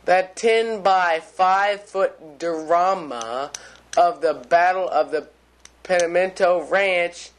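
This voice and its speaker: A young man reads the stories of a mock newscast at a quick clip, sometimes stumbling over words. His voice sits somewhat high. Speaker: mock news co-anchor.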